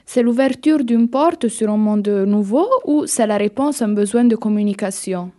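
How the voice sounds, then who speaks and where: A woman with a high yet mellow voice presents a book on the radio. She reads a prepared script in a calm, measured way and puts questions to a guest. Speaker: radio book show host.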